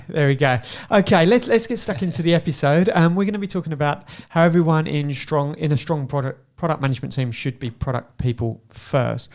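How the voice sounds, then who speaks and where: A man's voice: fairly high pitch, darkish tone. A man hosting a podcast, running a light trivia quiz with his guests and laughing often. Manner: playful, laughs often while quizzing guests. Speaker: podcast host.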